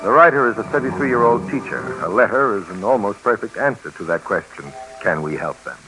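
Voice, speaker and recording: An American man narrating a 1950s radio documentary drama in an earnest, measured, rhetorical manner. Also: on the low side, expressive, pushed and bright, low-quality recording. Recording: vintage radio recording, music.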